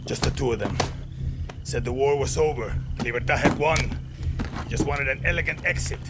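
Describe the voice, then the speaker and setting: An actor playing a gruff, foul-mouthed old-hand guerrilla spymaster in a video game, blunt and wry. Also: male voice, medium pitch, moderate pace, close to an everyday voice. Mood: bitter urgency. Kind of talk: video game cutscene acting. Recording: loud music over the voice.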